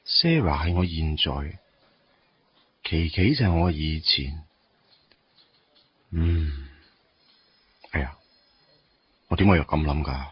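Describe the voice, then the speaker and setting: A man acting a wistful, absent-minded young office worker in a radio drama, with a Hong Kong Cantonese accent and a husky voice. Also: extremely low pitch, slow, pauses often, dull audio, heavily acted. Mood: musing.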